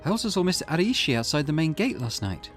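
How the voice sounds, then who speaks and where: Man voicing a polite, higher-pitched schoolgirl. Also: sing-song, quick pace, faint music behind. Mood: thoughtful.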